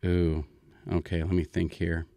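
A man hosting a story podcast, improvising in a casual, informal and halting way as he thinks aloud. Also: low voice, little pitch movement, gravelly, warm tone, no echo, clean studio sound.

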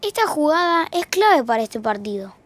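A woman's voice: sits high, big pitch swings, moderate pace, fairly bright tone, slight background noise. An Argentine woman playing the wry, conversational narrator of a fiction podcast about a married couple's squabbles.

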